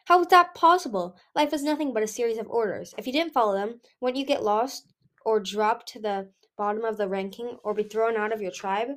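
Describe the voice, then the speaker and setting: An earnest young dragon prince from a storybook, acted by a woman. He is dutiful and a little awkward, with formal phrasing in a fairly high voice. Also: fairly quick, fairly bright tone, natural voice. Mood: mystified.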